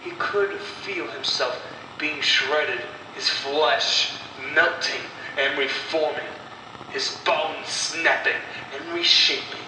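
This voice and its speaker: Male horror storyteller, unhurried, dramatic pauses, fairly high voice, scripted narration. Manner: slow, deliberate delivery with dramatic pauses. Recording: echoey, distant voice with clear noise.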